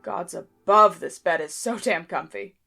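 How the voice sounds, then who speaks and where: A woman's voice: mid-range voice, measured pace, gravelly, pushed and bright, soft background music. A woman voicing a brash, combative young fighter in a fan audio drama, who speaks in a blunt, cocky, teasing way.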